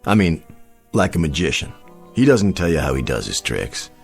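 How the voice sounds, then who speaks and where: In this western radio drama a slick, grandiose American frontier showman. His voice sits deep and swings widely as he sells his wonders with folksy, theatrical flourish. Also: sing-song, normal speed, creaky, soft background music, exaggerated voice. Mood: sly, boastful. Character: smooth-talking frontier showman who claims to raise the dead.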